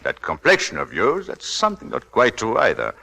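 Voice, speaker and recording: Cold, controlling Victorian husband: low, forceful voice, silky needling, radio melodrama. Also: male voice, huge pitch swings, a little fast, creaky, heavily acted. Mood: silkily flirtatious. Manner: silky, pointed questioning with sudden sharpness. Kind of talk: 1940s radio acting.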